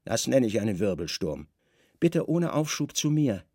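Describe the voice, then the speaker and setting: An imperious middle-aged Roman governor from a radio drama, acted out by a male narrator. He is volatile and abrupt, used to giving orders. The voice is creaky and animated, recorded very dry. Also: mid-range voice, moderate pace, dry recording. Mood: eager, commanding.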